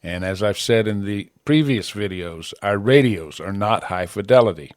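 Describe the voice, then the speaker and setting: A man with a fairly low voice presents a tutorial in a plain, measured way. He speaks in short, clear statements close to a podcast microphone. The sound is quite dry, with little room around it. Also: animated, no echo. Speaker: presenter of an amateur radio tutorial. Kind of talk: scripted tutorial narration. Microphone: close broadcast podcast microphone.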